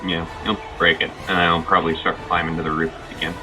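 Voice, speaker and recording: A man's voice: deep voice, flat delivery, quick pace, bright, forceful tone, far from the mic, low-quality recording. A man chatting casually as a player in a tabletop game. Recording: off-mic, faint music behind. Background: faint music.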